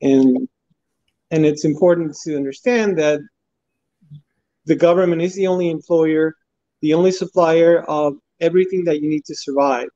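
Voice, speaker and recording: A man in his forties from Cuba, a guest answering interview questions in an earnest, steady way over his phone. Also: on the high side, normal speed. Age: 40s. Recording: dull phone audio.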